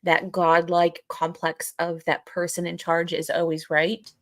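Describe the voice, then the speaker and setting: Casting: female podcast co-host, lowish and even-toned, explains haltingly with repeated phrases. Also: no room sound, studio quality. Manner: halting, repetitive as thoughts are worked out. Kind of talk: explanatory discussion.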